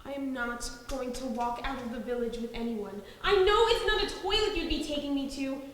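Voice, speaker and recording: A woman's voice: sits high, fairly quick, fairly bright tone. A woman playing a frightened, defiant teenage girl in a stage play, protesting emphatically to her family. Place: indoor theatre stage, recorded at a distance. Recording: echoey theatre hall, faint noise.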